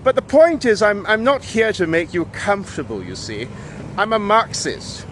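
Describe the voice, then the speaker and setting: Man, Malaysian Peranakan English accent, emphatic and wry monologue, higher pitch, clear street noise behind. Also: big pitch swings, moderate pace, fairly bright tone. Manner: emphatic, wry, with frequent restarts. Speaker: video essayist. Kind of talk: monologue to camera.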